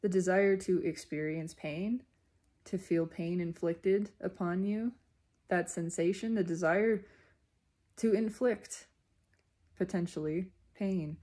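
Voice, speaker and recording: A woman giving a reflective spiritual monologue in a deliberate manner, pausing mid-sentence and speaking in short phrases. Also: lowish voice, warm tone. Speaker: spiritual self-reflection vlogger.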